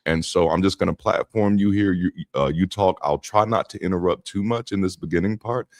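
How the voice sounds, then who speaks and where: Deep-voiced joking livestream host. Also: male voice, a little fast, no echo, clean studio sound. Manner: playful, teasing, laughs often. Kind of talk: casual livestream chat.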